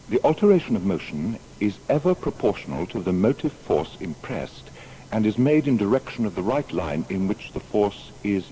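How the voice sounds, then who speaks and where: An actor playing Isaac Newton as a grave, proud natural philosopher, speaking in a solemn, measured, formal way. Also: male voice, low-pitched, warm tone, poor audio. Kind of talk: dramatised historical reading. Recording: faint background noise.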